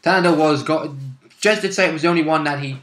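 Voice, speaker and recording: A British man with a slightly high, sharp-edged voice talks casually as co-host of a role-playing vidcast. He is colloquial and opinionated, swearing mildly here and there. The room rings noticeably around him. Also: fast talker. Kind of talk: casual chat recapping a role-playing campaign. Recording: echoey room.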